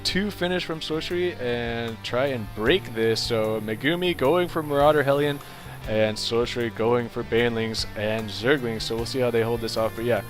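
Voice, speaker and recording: A young man with a nasal voice casts a strategy game for his channel. He talks in a loose, chatty way at a middle pitch and gets louder and quicker as the battles heat up. Music plays under his voice. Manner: relaxed and rambling, gets louder and higher as the action heats up. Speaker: game caster. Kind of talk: casual game commentary. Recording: music playing behind the voice.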